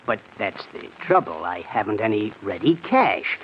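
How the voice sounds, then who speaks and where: A man's voice: fairly low pitch, sing-song, moderate pace. An actor playing an evasive, well-off businessman, making hesitant excuses in an old radio drama. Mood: falsely regretful. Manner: hesitant, smooth-talking excuses. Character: evasive, stingy, well-off male businessman friend. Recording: dull, muffled old radio recording.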